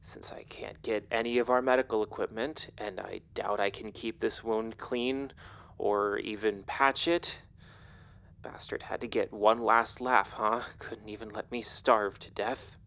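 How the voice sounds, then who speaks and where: A man's voice: lowish voice, fairly even intonation, measured pace, creaky, fairly bright tone. A man playing a doomed, wounded pony survivor, resigned and sardonic, in a post-apocalyptic audio drama. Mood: bitter resignation.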